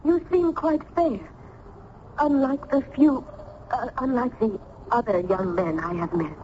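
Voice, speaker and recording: An actress playing a sheltered, shy young maiden in a radio drama, curious and wistful, with formal, hesitant speech. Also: female voice, a little high, a little slow, warm tone, lightly acted. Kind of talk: old-time radio drama acting. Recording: dull, noisy old radio recording.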